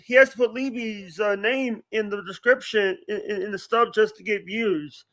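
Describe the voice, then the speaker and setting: A man chatting casually as a livestream guest, halting, often repeating phrases and starting over. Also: high-pitched, steady tone, sharp tone, no echo. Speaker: podcast guest.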